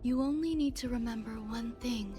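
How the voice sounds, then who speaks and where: An actress playing a calm, mysterious and reassuring woman guide in a fantasy video game, soothing and measured. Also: a little high, music in the background, lightly acted.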